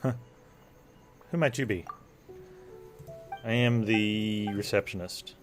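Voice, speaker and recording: Low-voiced man streaming a game. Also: slow, soft background music. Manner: musing, thinking aloud. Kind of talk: casual live gameplay commentary, chatting with viewers.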